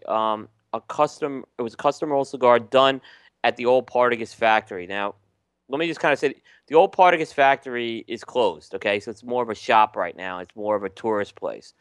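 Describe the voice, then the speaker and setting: A man's voice: medium pitch, normal speed, clear, resonant tone, dry recording, studio quality. A man co-hosting a casual podcast, talking at ease with frequent ums and restarts. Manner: relaxed, many ums and restarts. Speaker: podcast co-host. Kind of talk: casual chat reviewing cigars.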